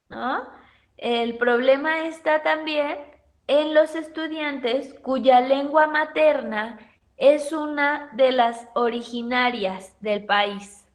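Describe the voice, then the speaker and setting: Slow, thoughtful Mexican woman teacher. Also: fairly high pitch. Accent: Mexican Spanish. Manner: questioning the class, thoughtful pauses. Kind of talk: university pedagogy lecture.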